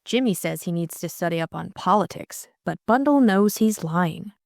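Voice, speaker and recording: A woman narrates an audiobook from a script. Her voice sits a little low for a woman. The recording is dry and close, free of room sound. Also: expressive, moderate pace, creaky, darkish tone, no room sound.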